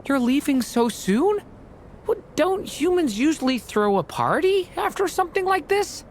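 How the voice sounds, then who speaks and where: An actor voicing a gentle, sincere young dragon boy hero in a video game. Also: sits high, big pitch swings, measured pace, slight background noise, heavily acted. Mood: earnest. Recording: music behind the voice.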